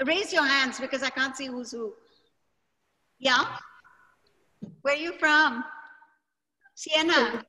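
A woman's voice: high-pitched, slow pace, long pauses, bright, forceful tone, poor audio. A woman hosting an online class over a video call, calling on participants one by one in a friendly way. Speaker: session host.

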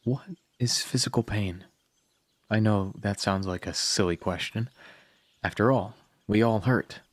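A man narrating a scripted guided meditation in a calm, soothing manner with long pauses. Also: lowish voice, no room sound, studio quality.

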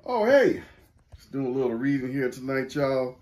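A man's voice: mid-range voice, sing-song, normal speed, a little mellow. A middle-aged man hosting a church cooking segment, chatting in a folksy, joking way with casual slang. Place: living room at home, indoors. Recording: roomy echo.

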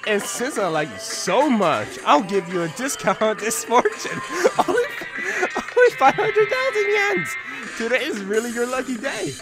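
A cheerful, pushy teenage boy fortune teller, read by a gamer coaxes casually like a salesman. His voice swings up and down in a lively visual novel style, over game music. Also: mid-range voice, unhurried, low-quality recording. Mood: coaxing, upbeat.

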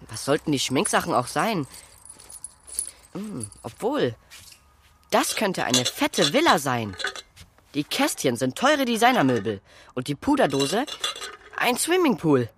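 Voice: mid-range voice, a little hiss or hum. An actor playing a playful boy who tries hard to sound cool in a children's audio drama.

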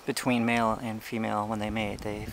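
A young man casually narrating a hiking vlog outdoors on a trail, explaining things in a relaxed, chatty way with many fillers, recorded on the handheld camera he films with. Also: on the low side, fairly quick, vocal fry, heavy background noise. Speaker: ant-keeping channel host. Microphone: handheld camera he is filming with.

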